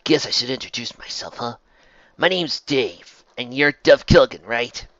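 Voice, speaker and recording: Laid-back teen lad, read by a male narrator, mid pitch, confident, wry and a little mischievous, audio story. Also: animated, moderate pace, a bit bright, no echo, highs cut off. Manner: casual, wry. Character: easygoing, confident, mischievous eighteen-year-old boy.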